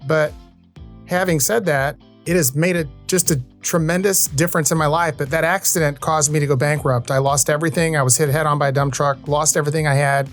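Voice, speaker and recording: A man's voice: on the high side, steady tone, fairly quick, soft background music. A man in his fifties hosting a podcast, talking earnestly and at length in a conversational interview style. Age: 50s. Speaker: podcast host.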